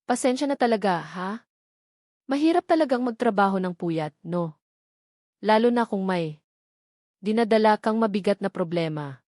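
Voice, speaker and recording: A woman's voice: medium pitch, lively intonation, moderate pace, long pauses, a little mellow, no echo, studio quality, natural voice. A woman voicing a haughty rich young woman in a story, sugary and mocking, with a Filipino accent. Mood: sweetly mocking.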